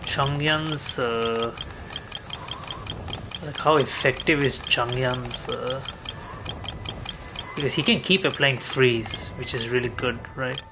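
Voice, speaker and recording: A man's voice: medium pitch, takes it slowly, pauses often, noisy background. A man giving casual gameplay commentary, thinking aloud in a hesitant mutter. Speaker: game streamer. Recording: game music behind the voice. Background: video game music.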